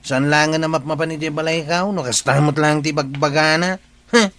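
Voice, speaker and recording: A man acts a grumbling, put-upon village man from an Ilocano comedy radio drama. His voice sits a little high for a man. He speaks matter-of-factly with an Ilocano accent. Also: steady tone, normal speed, a bit bright, lightly acted. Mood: indignant.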